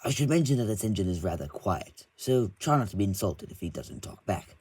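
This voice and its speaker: A man's voice: on the low side, very animated, a little fast, a little mellow, slightly rough, dry recording. A man voicing a formal, courteous male railway boss giving instructions.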